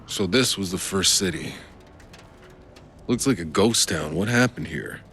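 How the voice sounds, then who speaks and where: An actor playing a terse, dry, unflappable soldier for hire in a video game cutscene. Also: male voice, sits low, expressive, unhurried, a bit bright, soft background music. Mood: curious, terse. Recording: clear background noise.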